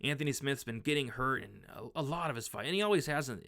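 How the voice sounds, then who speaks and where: A young man, hoarse from losing his voice, casually talking through his fight betting picks with lots of fillers and restarts. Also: medium pitch, animated, fast, fairly bright tone. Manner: rambling, filler-heavy, frequent restarts. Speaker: sports betting analyst.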